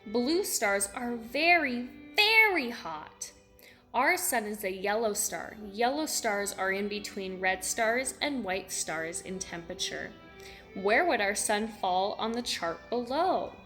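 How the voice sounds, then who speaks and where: A woman's voice: mid-range voice, animated, slow, a bit bright, roomy sound, soft background music. A woman preschool teacher reading aloud to young children, encouraging them with simple repeated phrases and questions.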